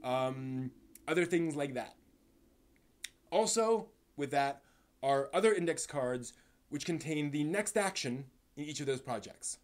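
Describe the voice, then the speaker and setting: A man hosting a casual live stream, speaking in a halting, rambling way and stumbling over his words, sounding somewhat tired. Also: on the high side, animated. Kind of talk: casual live stream chat, answering chat questions.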